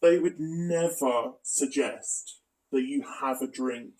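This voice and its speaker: A man's voice: mid-range voice, slow, darkish tone, distant mic, poor audio. A man giving critical, explanatory commentary as a video commentator.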